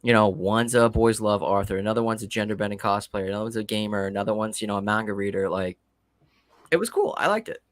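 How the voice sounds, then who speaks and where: A man in his late thirties chatting casually on a livestream, telling rapid, profane stories. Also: fairly low pitch, dry recording, studio quality. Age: 30s. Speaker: livestream guest. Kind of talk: casual chat.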